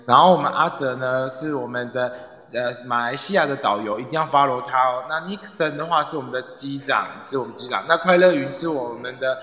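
A man's voice: mid-range voice, fairly quick, sharp tone. A man with a Taiwanese Mandarin accent casually hosting a live online audio room, often repeating his phrases as he organizes things. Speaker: club president and host. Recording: echoey, long reverb.

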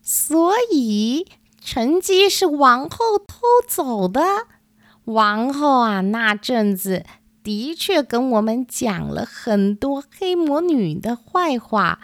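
A woman's voice: on the high side, animated, takes it slowly, dry recording, pitched above the performer's own voice. A woman voicing an earnest, concerned tulip fairy with an emphatic manner in a children's storybook radio play. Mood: surprised, earnest.

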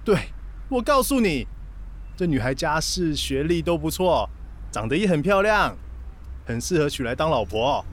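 An actor playing a short-tempered, defensive young boyfriend in a radio drama, with a Taiwanese accent and a taunting delivery. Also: male voice, fairly high pitch, sing-song, moderate pace. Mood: smug, cool. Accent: Taiwanese Mandarin.

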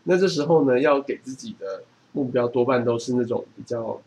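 A man's voice: mid-range voice, expressive, a little fast, a little mellow. A man with a Taiwanese accent chatting casually as a podcast host. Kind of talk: casual chat. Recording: clearly noisy background.

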